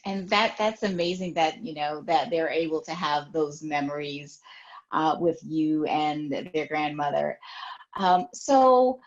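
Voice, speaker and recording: A woman host interviews an author in a gentle, encouraging way, speaking slowly with a somewhat low voice. Her audio sounds dull and lo-fi. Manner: gentle, encouraging, with many fillers. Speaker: host of a children's reading show.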